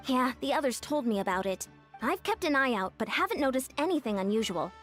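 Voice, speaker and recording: A woman voicing a brisk, dutiful female athletics coach in a video game, matter-of-fact and instructing. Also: high-pitched, very animated, a bit bright, faint music behind.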